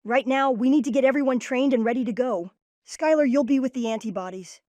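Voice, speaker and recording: A woman's voice: high voice, fairly quick, dark tone, dry recording, close to an everyday voice. A woman voicing an earnest child leader who takes charge, in a cartoon-style comic dub. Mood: organizing. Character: earnest kid leader, Max, organizing friends. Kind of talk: comic dub character acting.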